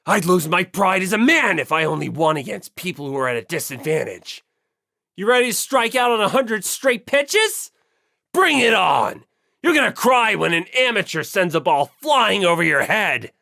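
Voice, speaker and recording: An audiobook reader voicing a hot-headed teenage gang leader boy, loud, aggressive and challenging. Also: medium pitch, big pitch swings, normal speed, creaky, heavily acted. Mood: furious, challenging. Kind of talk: audiobook character voice.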